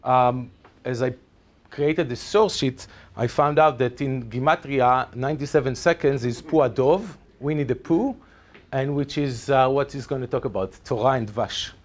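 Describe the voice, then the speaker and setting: A man's voice: medium pitch, normal speed. Israeli man presenting, chatty and hesitant. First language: Hebrew. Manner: chatty, hesitant, many ums and restarts. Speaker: presenter at a teachers' workshop. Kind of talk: conference presentation. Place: lecture room with projector screen.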